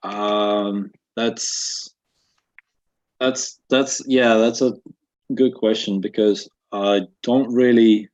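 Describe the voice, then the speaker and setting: Young russian guy with a bit of a kiwi accent, slow and halting, lots of ums, lowish voice, muffled lo-fi audio. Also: fairly even intonation, darkish tone. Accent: Russian with a slight New Zealand accent. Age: 20s. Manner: halting, many fillers and restarts. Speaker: guest. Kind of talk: casual interview answers. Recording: dull lo-fi webinar audio.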